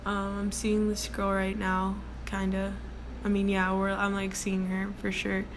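A young woman with a deep voice hosting her own casual solo podcast from her workplace, rambling with many ums and likes in a relaxed way. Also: unhurried. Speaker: podcast host. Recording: noticeable background noise.

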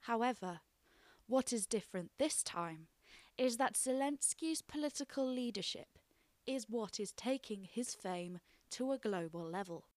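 A woman reading her own political essay aloud as scripted narration, measured and explanatory. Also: on the high side, animated, a little mellow, no echo.

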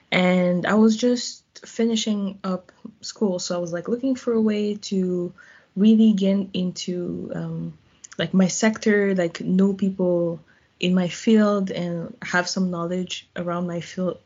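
Husky woman, chatty guest. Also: fairly low pitch, a little mellow, muffled recording. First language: French. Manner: chatty, laughs often, uses "like". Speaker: guest, volunteer. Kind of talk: casual interview answers.

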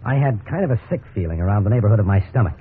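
A man's voice: on the low side, animated, rapid-fire, lightly acted. An actor playing a breezy, incredulous American salesman husband in a 1950s radio drama, wry and conversational. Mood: wry, uneasy. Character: cheerful, incredulous suburban husband, an encyclopedia salesman. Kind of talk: 1950s radio drama acting with wry first-person narration. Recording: tinny, hissy 1950s radio recording.

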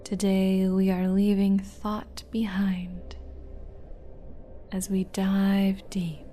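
A woman narrates a guided meditation very slowly in a soft, breathy, half-whispered voice. Her delivery is even and gentle, pausing between short phrases, with music playing behind her. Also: mid-range voice.

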